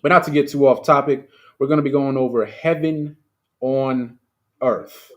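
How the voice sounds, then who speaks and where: A man delivering a casual sermon over a livestream, talking alone into his mic. His pitch sits in an ordinary middle range. He speaks loosely and chattily, full of asides, and stresses his points with rhetorical questions aimed at the people watching. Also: steady tone, normal speed. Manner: conversational and emphatic, with rhetorical questions. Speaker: preacher leading a livestreamed service. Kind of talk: informal streamed sermon.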